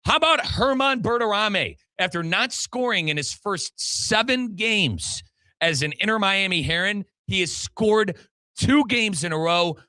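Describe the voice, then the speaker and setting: A man with an American accent hosts sports talk in a high-pitched voice. The sound is dry and close, and his tone is bright and forceful. He is emphatic and colloquial as he recaps the action. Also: expressive, measured pace, clear, resonant tone, no room sound. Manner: emphatic, colloquial, addresses listeners and co-host directly. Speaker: sports show host and radio play-by-play commentator.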